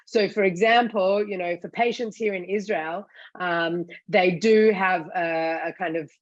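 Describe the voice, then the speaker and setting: A woman's voice: lowish voice, fairly even intonation, no room sound, highs cut off. A woman in her thirties with an Australian accent, answering interview questions in an articulate, explanatory way. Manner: articulate, explanatory, quick to laugh. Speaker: CEO of a cannabis education site.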